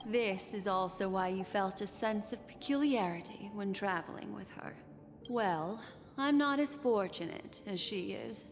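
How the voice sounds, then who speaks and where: A woman playing an enigmatic, composed keeper of memories in a video game, speaking in a measured, poised, soothing way. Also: medium pitch, slight background noise, close to an everyday voice. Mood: explaining calmly. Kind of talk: scripted video game voice acting. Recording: long reverb, game music behind.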